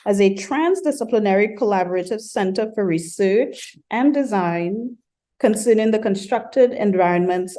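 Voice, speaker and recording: Mellow-voiced woman hosting. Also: medium pitch. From Trinidad and Tobago. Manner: measured, with frequent ums and uhs. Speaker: architecture professor and colloquium host. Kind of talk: formal welcome talk at an online colloquium.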